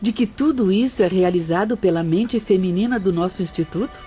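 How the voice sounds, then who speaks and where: An actress playing a kindly, serene female spirit guide who teaches gently and formally in an audio drama. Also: medium pitch, brisk, natural voice. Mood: gentle, guiding. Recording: dull audio with faint music.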